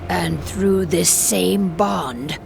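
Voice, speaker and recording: A woman voicing a solemn, proud female werewolf pack leader, speaking formally and gravely in a video game cutscene. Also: lowish voice, noisy background, exaggerated voice. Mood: remorseful. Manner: slow, formal, weighty delivery. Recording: game music behind.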